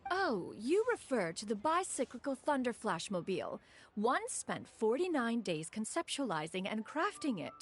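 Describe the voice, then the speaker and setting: A woman's voice: on the high side, very animated, moderate pace, breathy edge, soft background music. A woman voicing an ancient, proud female crane spirit in a game cutscene, speaking in an archaic, formal way and calling herself "one". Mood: grand.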